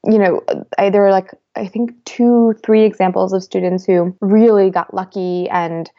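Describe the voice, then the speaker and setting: A young woman in her twenties, a guest in an interview, talking thoughtfully with many pauses, fillers and restarts. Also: mid-range voice, steady tone, highs cut off. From United States. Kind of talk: reflective conversational interview.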